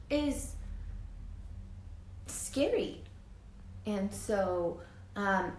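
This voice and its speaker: Animated woman reading aloud in a roomy space. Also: mid-range voice. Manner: dramatic, expressive, asks the children questions. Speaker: teacher reading a picture book aloud. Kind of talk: animated children's read-aloud with asides to listeners. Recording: roomy echo with faint background noise.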